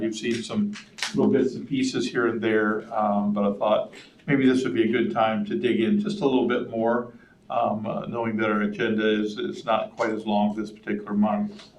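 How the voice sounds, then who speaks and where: A school superintendent, a man, presenting a report at a board meeting in a conversational way with many ums, his voice slightly hoarse. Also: fairly low pitch, little pitch movement, fairly quick, slight background noise. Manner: conversational, with frequent ums and uhs. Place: public meeting room. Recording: roomy meeting-room audio from a distant mic.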